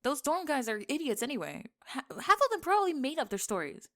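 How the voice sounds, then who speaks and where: A young woman playing a teasing, sarcastic tomboy gamer friend in an acted ASMR roleplay, with expressive sighs and laughter. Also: sits high, brisk, exaggerated voice. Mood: flustered, defensive. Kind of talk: acted ASMR roleplay, one-sided conversation.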